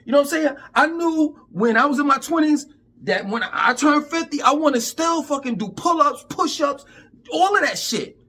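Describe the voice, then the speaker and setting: A man's voice: extremely high pitch, lively intonation, moderate pace, sharp tone. A man in his fifties giving an emphatic, profane, preachy rant to camera in street talk. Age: 50s. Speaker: vlogger.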